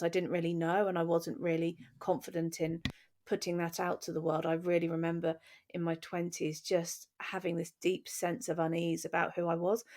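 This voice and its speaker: English woman in her forties, podcast co-host, low gravelly voice, candid and reflective storytelling. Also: a little mellow. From England. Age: 40s. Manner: reflective, candid, restarting phrases. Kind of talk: personal storytelling in conversation.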